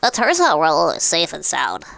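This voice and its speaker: A woman's voice: deep voice, huge pitch swings, normal speed, gravelly, a bit bright, no room sound, full character voice. A woman voicing an earnest, apologetic young boy animal hero in a cartoon game, reading his dialogue aloud. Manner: earnest, explaining himself.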